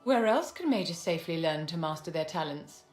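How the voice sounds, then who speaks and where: In a reverberant hall with music underneath, an aristocratic, shrewd woman mage for a video game. Her voice sits fairly low for a woman, and she speaks with measured, haughty courtesy. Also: expressive. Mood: poised, assured.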